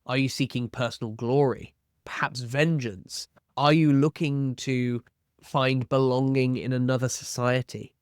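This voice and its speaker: A man's voice: medium pitch, steady tone, measured pace, clean tone, no room sound. Man giving advice in a dry, close recording. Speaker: host of a tabletop roleplaying advice channel. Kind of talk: explanatory video talk to viewers, posing questions.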